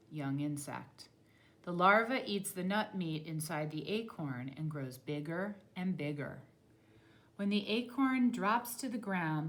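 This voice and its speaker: A woman reading a children's nonfiction book aloud like a teacher, in a deliberate, clearly phrased manner. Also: lowish voice.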